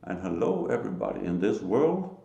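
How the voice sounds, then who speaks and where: A Danish-accented man delivers a slow, emphatic religious talk, pausing often between phrases. His low voice is dark and gravelly and swings widely in pitch. The sound carries a roomy echo. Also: sing-song, lo-fi sound. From Denmark. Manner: slow, halting, emphatic, with short laughs. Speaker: lay preacher. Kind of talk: solo religious talk to camera.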